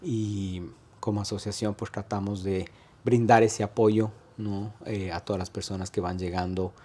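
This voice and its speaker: A man in his thirties with an Ecuadorian accent and a fairly low voice. He talks through his story in a relaxed interview, with frequent fillers and halting restarts as he searches for the next words. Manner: hesitant, many "eh" fillers and restarts. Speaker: guest, restaurant owner. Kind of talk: conversational interview.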